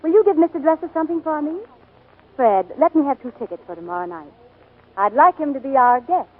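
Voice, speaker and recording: A poised stage star with a quick tongue, high voice, old 40s radio show, really tinny. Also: female voice, lively intonation. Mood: apologetic, gracious. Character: poised, sharp-tongued female stage star of musical shows. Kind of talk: 1940s radio acting. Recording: tinny 1940s radio broadcast.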